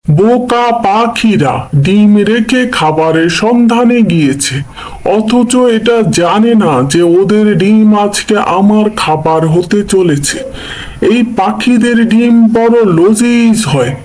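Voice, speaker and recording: A man's voice: high-pitched, lively intonation, slow pace, darkish tone, low-quality recording. A man voicing a sly, greedy predator animal in a children's cartoon, gloating and scheming. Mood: gloating, smug.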